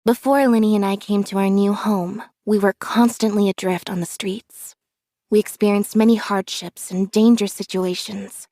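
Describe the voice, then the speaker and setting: A woman voicing a reserved, observant young magician's assistant in a video game, speaking in a calm, measured deadpan. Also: medium pitch, no echo, studio quality, lightly acted. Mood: calm, detached. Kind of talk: scripted character voice lines.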